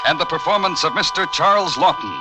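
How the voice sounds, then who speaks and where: A man's voice: a little high, quick pace, loud music behind the voice, rough recording. A man introducing a radio programme in a formal, portentous, dramatic 1940s announcing style.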